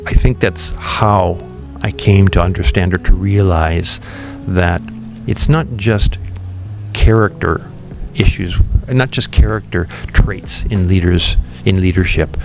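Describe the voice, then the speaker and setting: A man guesting on a podcast, a company founder who explains his ideas in a thoughtful, relaxed way, with frequent ums and restarts. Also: sits low, takes it slowly, music in the background. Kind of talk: relaxed interview conversation.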